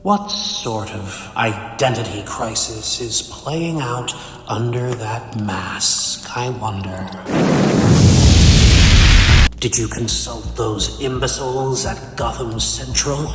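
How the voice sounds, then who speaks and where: A man's voice: medium pitch, slow, lightly acted. A man playing a cold, bitter, cerebral supervillain in a comic dub, speaking in a theatrical, condescending, verbose manner. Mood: contemptuous. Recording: echoey hall reverb, faint music behind.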